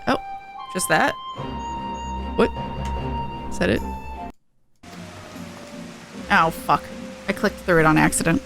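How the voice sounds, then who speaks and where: A woman's voice: lowish voice, measured pace, vocal fry, music in the background, poor audio. A woman streaming a video game, giving informal, sweary, casual commentary. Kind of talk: casual livestream commentary and dialogue read aloud.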